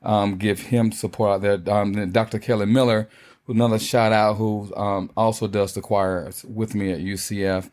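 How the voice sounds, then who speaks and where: A man co-hosting a casual podcast, playful and quick to laugh, who directs choirs. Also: fairly low pitch, little pitch movement, a little mellow. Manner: playful, laughs often, teasing. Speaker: podcast co-host. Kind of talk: casual chat.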